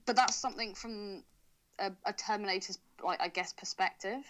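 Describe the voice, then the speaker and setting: A young British woman with a bright, forward voice at an average pitch. She co-hosts a casual film podcast, teasing and slangy as she talks. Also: normal speed. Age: young adult. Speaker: podcast co-host. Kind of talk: casual comic film chat.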